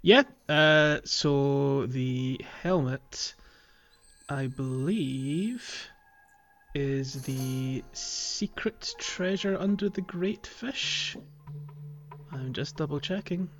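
A man's voice: fairly high pitch, extremely slow, fairly bright tone, faint music behind. A man hosting a podcast, talking casually and chattily about a game in informal language. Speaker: podcast host.

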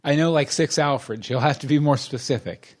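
A man's voice: on the high side, fairly quick. A man co-hosting a casual episode commentary, tossing out wry, joking asides.

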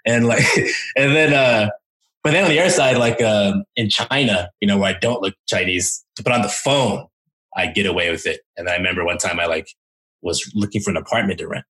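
Casual man explaining things, slightly low voice. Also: fairly quick. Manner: informal, animated storytelling with acted-out asides. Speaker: interview guest, language coach. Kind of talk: casual interview explanation with anecdotes.

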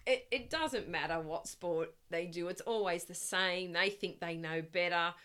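Woman, middle-aged, with a slightly low, carrying voice; talkative guest who rambles and laughs through her answers. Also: unhurried, smooth. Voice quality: loud voice that projects. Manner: chatty and rambling, with frequent laughs and restarts. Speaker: guest, parent of the host. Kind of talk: casual interview chat.

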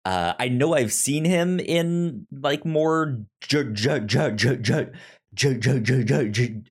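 A man's voice: mid-range voice, animated, takes it slowly, breathy edge. A man, probably in his thirties, hosting a casual podcast chat, who stammers and repeats syllables as he talks. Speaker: podcast host.

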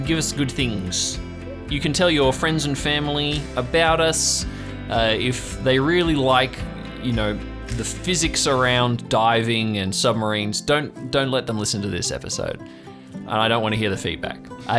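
A man with a middling pitch works as host and narrator of an improvised audio drama. His delivery is informal, and music plays underneath him. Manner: informal, joking.

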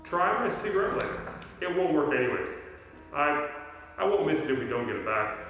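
A man acting brisk scientist, distant and echoey. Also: fairly high pitch, very animated, a bit bright, faint music behind. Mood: offhand. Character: Paul, brisk, confident tinkering scientist buddy. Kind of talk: 1940s radio play acting. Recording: echoey hall, distant mic, noisy.

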